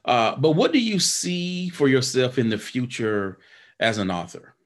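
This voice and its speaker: A man's voice: mid-range voice, big pitch swings, unhurried. A man hosting a podcast interview, talking loosely with lots of fillers and restarts, then rallying listeners in an upbeat sign-off. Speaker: podcast host. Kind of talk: casual interview chat and show outro.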